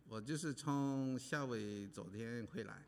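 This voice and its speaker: A man from Hawaii speaking as a pastor, halting with many pauses and fillers while an interpreter follows him. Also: mid-range voice. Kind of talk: preaching with interpreter. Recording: long hall reverb.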